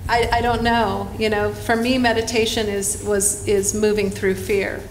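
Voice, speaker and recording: A woman on a conference panel, speaking in a halting, searching, earnest way about her own experience. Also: mid-range voice, flat delivery. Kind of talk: personal reflection on a panel. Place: hotel conference room. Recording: roomy hall with faint noise.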